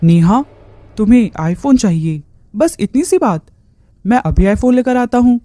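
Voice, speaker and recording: A woman voicing a lecherous, smooth-talking married man in a story, coaxing and sly. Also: on the high side, animated, a little slow, dark tone.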